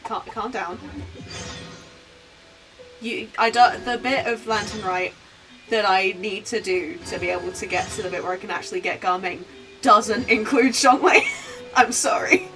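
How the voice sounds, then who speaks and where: A woman's voice: mid-range voice, unhurried, pauses often, a bit bright. A woman game streamer chatting casually over gameplay, rambling in a giggly way. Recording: slightly distant mic, music behind. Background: game music.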